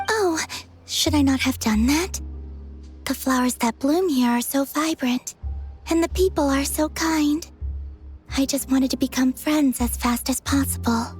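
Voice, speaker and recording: Innocent dreamy game girl, high n gentle, very matter of fact, soft music. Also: measured pace. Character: serene, innocent, otherworldly young maiden.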